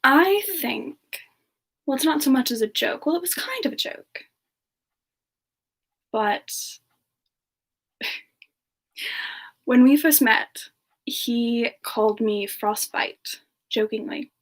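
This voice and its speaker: A sweet, bashful female demon singer, played by a woman, chats softly in semi-ASMR livestream style. Her voice sits fairly high and moves very slowly. She is gentle and hesitant, often sinking toward a whisper. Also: animated, exaggerated voice. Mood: amused, shy.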